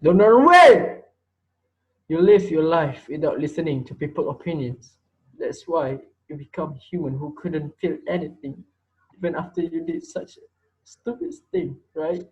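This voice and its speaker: A man's voice: on the high side, expressive, normal speed, breathy edge. A man playing a menacing, preachy teacher who taunts and interrogates his students in an amateur reader's theater drama. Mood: stern, accusing. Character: menacing male teacher, self-appointed reaper judging his students. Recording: dull lo-fi recording.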